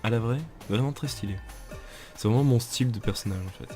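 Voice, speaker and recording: A man with a cold, chatting in slang and getting excited while commenting on a game to his viewers. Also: mid-range voice, a little fast. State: has a cold. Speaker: gamer. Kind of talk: casual gameplay commentary. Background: game music and sounds.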